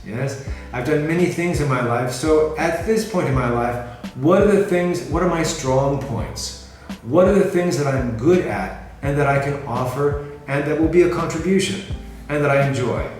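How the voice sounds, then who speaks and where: A middle-aged American man from California, talking casually to the camera in a measured way and often rephrasing himself. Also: medium pitch. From California, USA. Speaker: freelancer presenting himself. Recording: very echoey room, mic at a distance, music behind.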